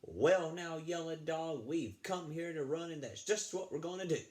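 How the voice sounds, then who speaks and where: A man's voice: a little high, moderate pace, a little mellow, voice pushed up, natural voice. A man voicing an easygoing, modest sheep rancher in a children's storybook, folksy and plain-spoken. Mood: steady, resolved.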